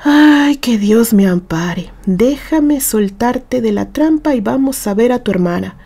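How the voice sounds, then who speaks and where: A woman puts on a kindhearted, exasperated man for an audio story. He is folksy and gruff in his wording, with a soft, mellow tone. His scolding carries tenderness. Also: fairly high pitch, animated, a little slow, exaggerated voice. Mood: resigned, kind. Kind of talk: audio story character acting.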